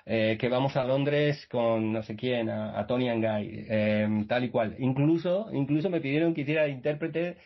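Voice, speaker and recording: Man, husky, rambling, casual, muffled video call. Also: mid-range voice, steady tone, moderate pace, hardly any pauses. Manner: rambling with many restarts and fillers. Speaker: guest. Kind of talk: casual storytelling in a radio interview.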